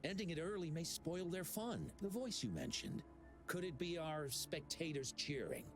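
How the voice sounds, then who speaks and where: Earnest young man, very lively intonation. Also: fairly high pitch, normal speed. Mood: earnest, apologetic. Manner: courteous, hesitant, expressive. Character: polite, earnest young male event official. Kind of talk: video game voice acting. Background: game music.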